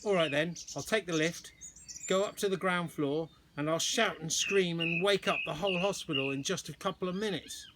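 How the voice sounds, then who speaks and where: A defiant young boy from a children's storybook, acted out by a man reading aloud. He sounds indignant and stands his ground, in a slightly high voice. Birds chirp noisily behind him. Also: moderate pace, lightly acted. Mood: threatening. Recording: noisy outdoor recording with birdsong.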